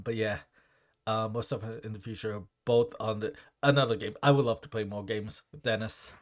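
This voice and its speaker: A young man with a deep voice chatting casually while opening gifts. He stammers and restarts his words often. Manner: stammering, repeats words, halting. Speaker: Minecraft content creator.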